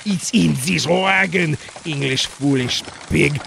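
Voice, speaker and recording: A man's voice: on the high side, gravelly, fairly bright tone, slight background noise, heavily acted. A man playing a haughty, insult-slinging nineteenth-century Frenchman with a put-on French accent in a comedic 1940s-style radio play. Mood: sneering. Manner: scornful, drawn-out sneering insults.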